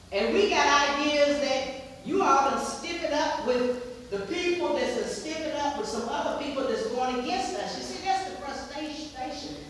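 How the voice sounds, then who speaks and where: A woman's voice: high-pitched, a little hiss or hum. Woman, West Virginia accent, emphatic public comment, recorded from far across an echoey meeting room. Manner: emphatic and repetitive, gesturing while standing. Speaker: resident speaking at a public hearing. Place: community center meeting room. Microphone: far camera across the room.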